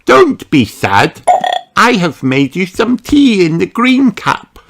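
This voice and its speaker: A man voicing a cheerful little girl piglet in a storybook, in a bright, bouncy, sing-song way. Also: sits high, very animated, takes it slowly, voice pushed up, exaggerated voice.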